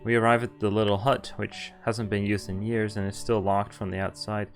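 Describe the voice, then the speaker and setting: A man with a fairly low voice reads a visual novel aloud as a streamer. He narrates the story and sometimes stumbles over a word. Game music plays faintly underneath. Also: little pitch movement, moderate pace. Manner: stumbles over words while reading.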